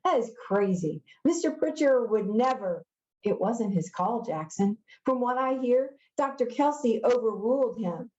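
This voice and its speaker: A woman's voice: fairly high pitch, expressive, unhurried, dark tone, roomy sound, dull audio. A woman reading the part of a pushy, sneering middle-school newspaper editor boy in a storybook, insistent and sarcastic. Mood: urgent.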